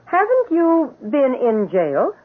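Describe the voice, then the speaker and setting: An actress in a 1970s radio drama playing a cruel, imperious rich wife with a scornful manner. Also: female voice, sits high, huge pitch swings, slow, heavily acted. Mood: haughty, defensive. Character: cruel, haughty rich wife plotting murder. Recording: muffled, thin vintage radio recording.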